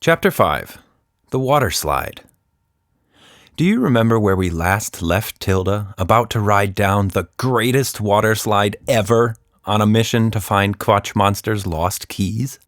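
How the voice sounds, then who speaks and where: A man's voice: lowish voice, a little slow, no echo, studio quality. A middle-aged man hosting and telling a children's story in a playful, conversational manner. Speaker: podcast host and storyteller.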